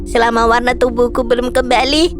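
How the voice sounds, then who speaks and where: A woman's voice: a little high, level tone, a little fast, lightly acted. A woman voicing a young female jellyfish in a children's cartoon, vain and easily panicked, with whiny exclamations. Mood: worried, sulky. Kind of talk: animated children's story voice acting. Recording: music behind the voice. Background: loud background music.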